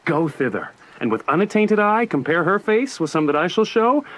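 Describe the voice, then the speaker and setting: Man as high-voiced, teasing young nobleman. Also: very animated, normal speed. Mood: persuasive. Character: brisk, teasing, persuasive young nobleman friend. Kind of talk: Shakespearean radio drama acting.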